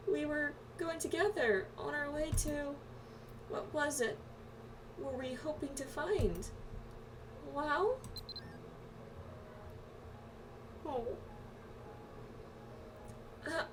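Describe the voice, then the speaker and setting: A woman voicing a timid, apologetic girl from a fantasy game, with a hesitant, halting delivery. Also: high voice, noisy background, pitched above the performer's own voice. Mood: dazed, confused. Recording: distant mic, music behind.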